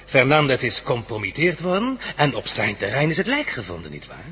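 An actor playing a smug, menacing crime villain in a radio drama, mock-polite and taunting. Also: male voice, mid-range voice, huge pitch swings, a little fast, sharp tone, husky, heavily acted. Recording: echoey hall reverb.

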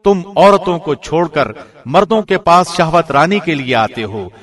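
A man reading a scripture translation from a script in formal, deliberate radio presenter diction. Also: fairly high pitch, fairly bright tone.

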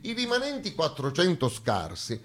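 A man delivers a theatrical comic monologue with emphatic, ironic phrasing and dramatic pauses. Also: fairly high pitch, huge pitch swings, a bit bright. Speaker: stage actor performing a satirical monologue.

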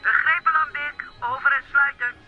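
A performer playing a brisk woman at headquarters, speaking through a two-way radio filter in a children's radio play. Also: high-pitched, steady tone, soft background music. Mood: brisk, efficient. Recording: muffled, band-limited radio transmission sound.

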